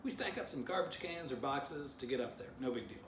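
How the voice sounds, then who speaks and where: A man's voice: medium pitch, expressive, brisk, echoey room, distant mic, background noise, pitched above the performer's own voice, natural voice. A man reading a storybook aloud as a bossy, pompous, self-important female dog with theatrical delivery.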